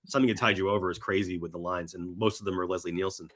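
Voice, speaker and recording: A man's voice: deep voice, steady tone, very fast, fairly bright tone. Man telling film stories with emphatic enthusiasm. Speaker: podcast guest. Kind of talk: enthusiastic casual film chat.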